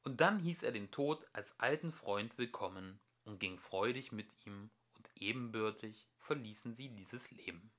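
A man reading a storybook aloud as an amateur narrator, with expressive, emphatic delivery. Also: lowish voice, measured pace, hardly any pauses. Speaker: amateur audiobook reader.

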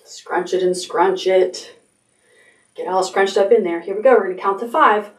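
A woman's voice: mid-range voice, moderate pace. A middle-aged woman leading a toddler storytime, giving simple, repeated instructions with encouragement. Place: indoor room decorated with stuffed animals. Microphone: camera a few metres away. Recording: roomy, distant from the mic.

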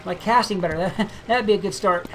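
Laid-back gamer thinking out loud while playing, mid pitch, game music going the whole time. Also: a little fast, rough recording. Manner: thinking aloud, musing and chatty. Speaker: game video creator. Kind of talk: casual gameplay commentary.

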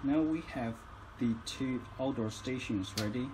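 A man's voice: mid-range voice, unhurried, background noise. A man giving instructional tutorial narration as a product demonstrator, phrasing each step carefully.

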